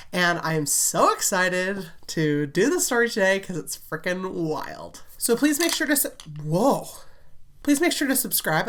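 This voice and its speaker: A woman's voice: on the low side, a bit bright. A woman hosting a casual storytelling vlog, chatty and giggly, full of "like" fillers, slightly congested as she recovers from a cold. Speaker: children's book author and channel host.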